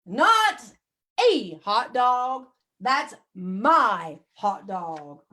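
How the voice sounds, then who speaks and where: Brief: woman reading as a greedy, showy storybook pigeon, put-on American accent, loud and drawn out, bright sharp tone. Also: fairly high pitch, sing-song, drawn-out words, voice pushed down, full character voice. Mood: gloating. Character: greedy excitable male pigeon.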